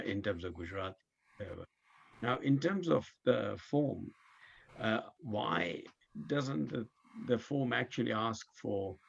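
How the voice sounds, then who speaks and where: Gravelly, husky man on a muffled call. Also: on the low side. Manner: hesitant, halting, many "uh"s. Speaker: webinar participant. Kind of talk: webinar Q&A.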